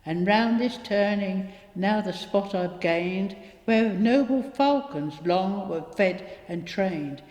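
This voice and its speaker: A woman reciting rhyming poetry in a measured reading style. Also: mid-range voice, a little mellow. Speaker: poetry reader. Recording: echoey hall with long reverb.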